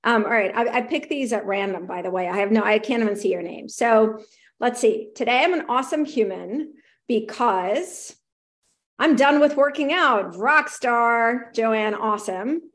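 Upbeat, encouraging woman with slight rasp. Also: fairly high pitch, normal speed. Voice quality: slightly raspy. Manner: upbeat, encouraging, reads chat aloud. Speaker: host of a live show. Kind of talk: live-streamed talk to viewers.

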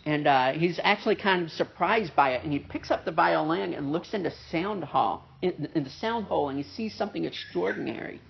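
A male pastor with a nasal, gravelly voice, pitched a bit higher than most men. He preaches casually, tossing in "right?" and "uh" as he goes. The recording is dull and muffled, as if from a church service. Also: animated, brisk, few pauses, a little hiss or hum. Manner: conversational preaching with frequent "right?" and "uh" fillers. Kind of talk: sermon. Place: church service with a pianist present.

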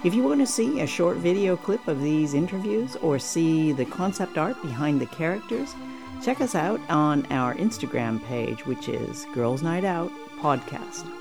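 A woman's voice: low-pitched, expressive, unhurried, vocal fry, over music, poor audio. A woman hosting a podcast in a casual, conversational way. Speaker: podcast host, interviewer. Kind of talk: casual interview and podcast outro.

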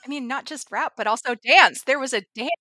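A woman co-hosting a podcast in casual chat, bubbly and excitable and quick to laugh. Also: high voice, dry recording. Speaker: podcast co-host.

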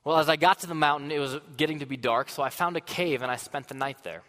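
A man playing a weary, passionate older prophet in a dramatic monologue to a congregation, earnest and swinging from fervent outbursts to hushed sadness. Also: a little high, fast, natural voice. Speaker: dramatic first-person storyteller. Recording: long hall reverb.

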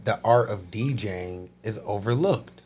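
A man's voice: lowish voice, moderate pace, darkish tone, husky. A man interviewing casually as a podcast host.

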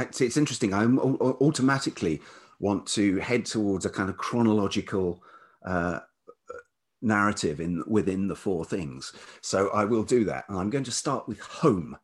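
Deep-voiced, middle-aged southern English man. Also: animated, unhurried. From Kent, England. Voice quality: velvety. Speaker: podcast guest, writer and musician. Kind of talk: reflective personal storytelling in conversation.

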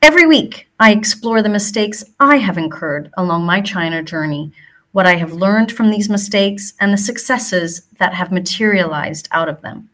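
Bright-voiced woman with mixed American-British accent. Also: mid-range voice, normal speed. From Hong Kong. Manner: relaxed, fillers like um between phrases. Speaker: podcast host. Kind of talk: scripted podcast intro then casual storytelling.

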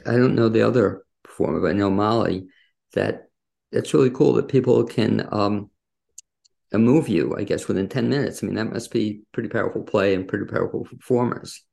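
A man's voice: fairly low pitch, a little fast, vocal fry, darkish tone. A man hosting a casual interview, asking wordy, rambling questions in a conversational way. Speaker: interviewer, show host.

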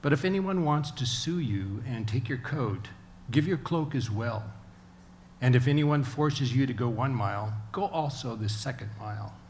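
A man reads scripture aloud at an ordinary middle pitch. The recording carries faint ambient noise behind his voice. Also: lively intonation, normal speed, darkish tone. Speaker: scripture reader. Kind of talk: read-aloud Bible passage.

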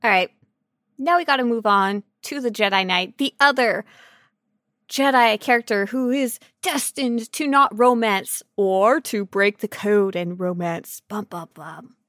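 A woman's voice: mid-range voice, unhurried, fairly bright tone, no room sound, clean studio sound. A woman co-hosting a podcast in casual, playful banter with a silly, joking manner. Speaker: podcast co-host.

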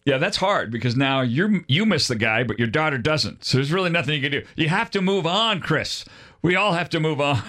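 A man's voice: fairly high pitch, lively intonation, fairly quick, sharp tone. A middle-aged man hosting a casual conversational interview in an informal, plain-spoken way. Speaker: podcast host.

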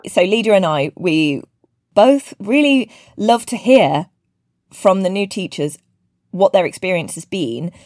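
A woman hosts a casual video-call interview, talking in a loose, chatty way full of fillers and restarts. Her voice sits at an average pitch for a woman. The sound is dry and close, with no room echo. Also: animated, unhurried, darkish tone. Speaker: interviewer and teaching team lead.

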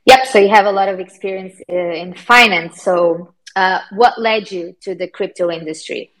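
A woman's voice: mid-range voice, moderate pace, a bit bright, rough recording. A woman filling in as host of an informal community session, friendly and slightly hesitant, with many ums. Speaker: stand-in host, marketing head. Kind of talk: informal live session hosting.

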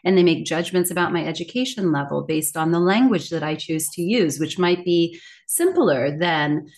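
Earnest woman with a lowish voice. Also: animated, normal speed, darkish tone. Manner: earnest, emphatic, articulate. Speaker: guest, communication coach and author. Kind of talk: reflective interview talk.

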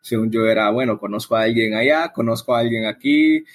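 A man's voice: medium pitch, a little fast. A man from El Salvador, answering interview questions in a conversational, explanatory way with frequent tag questions. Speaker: interview guest, coffee event organizer.

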